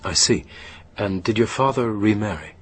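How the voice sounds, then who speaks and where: Patient, low-voiced male therapist. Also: expressive, normal speed, slightly rough. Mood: measured, probing. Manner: careful, probing, reassuring. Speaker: actor in a radio play. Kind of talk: naturalistic radio drama acting, counselling.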